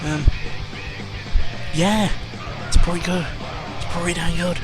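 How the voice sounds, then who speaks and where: A man's voice: high voice, steady tone, slow. A young man ranting casually about video games, exasperated and full of fillers. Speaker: gaming vlogger. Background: video game music.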